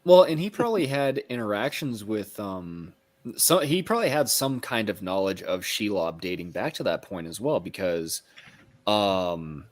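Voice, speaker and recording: A man co-hosting a book discussion, explaining his points in a casual, analytical and somewhat halting way. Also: lowish voice, sing-song.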